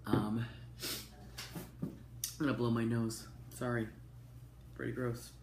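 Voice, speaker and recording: A woman, hoarse from a cold, giving a chatty, open personal update to camera with plenty of ums and asides. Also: extremely low pitch, flat delivery, slow pace. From Canada. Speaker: vlogger. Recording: echoey room with faint noise.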